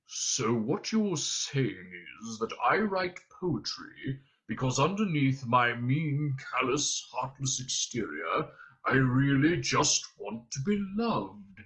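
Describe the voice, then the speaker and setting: A man performs a callous, embittered alien villain from a comic science-fiction audiobook. The delivery is slow and drawling, heavy with menace, with a hard, forceful edge to every phrase. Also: mid-range voice, very animated, poor audio. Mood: slow, sneering. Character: mean, callous, embittered male alien poet and brute.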